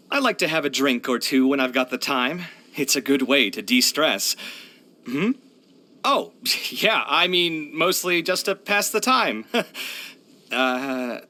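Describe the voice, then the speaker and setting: A young architect from a video game, proud yet hard on his luck. His voice is bright and forward, at a middle pitch that swings widely as he talks. He is chatty, candid and very expressive. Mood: earnest, assured, then sheepish, confiding. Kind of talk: video game character voice lines.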